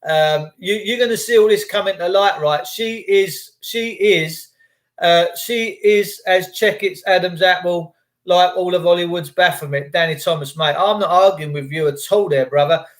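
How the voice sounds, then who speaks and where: A man's voice: high-pitched, moderate pace. An Englishman hosting a livestream, rambling through conspiratorial tangents, swearing freely and answering chat. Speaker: livestream host reading chat. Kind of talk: rambling live chat monologue.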